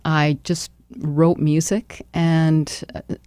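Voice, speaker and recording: A woman's voice: sits low, flat delivery, very slow, darkish tone, smooth, no echo, studio quality. A Canadian woman speaking effusively as a musician guest in a live radio interview. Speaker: interview guest, singer-songwriter. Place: radio studio.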